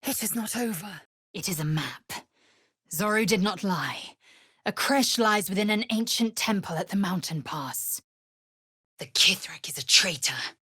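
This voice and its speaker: An actress voicing a fierce, proud alien warrior woman in a video game, husky and clipped, with a haughty formal delivery. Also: mid-range voice, animated, unhurried, sharp tone, no room sound, studio quality, heavily acted. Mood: stern, threatening. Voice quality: husky, breathy rasp. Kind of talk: video game character acting.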